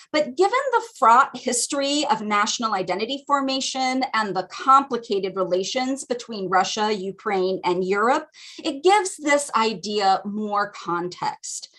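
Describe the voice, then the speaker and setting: An American woman lecturing over a video call, her voice sitting a little high for a woman. She explains things in a thoughtful, academic way and pauses often on ums. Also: bright, forceful tone. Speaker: guest lecturer. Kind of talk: academic lecture at a community teach-in.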